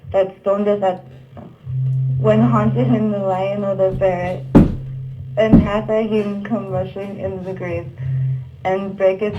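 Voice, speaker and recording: A woman narrating archaic verse for a puppet show in a halting way, stumbling over the old words. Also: medium pitch, noisy background. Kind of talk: read-aloud narration of Middle English verse. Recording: dull, muffled lo-fi sound.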